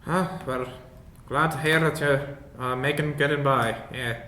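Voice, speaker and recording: A man's voice: medium pitch, steady tone, a little slow, bright, forceful tone. A man playing a polite, chatty, hesitant dwarf inventor in a tabletop game. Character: awkward, polite dwarf inventor, grieving his father. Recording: roomy echo, clear background noise.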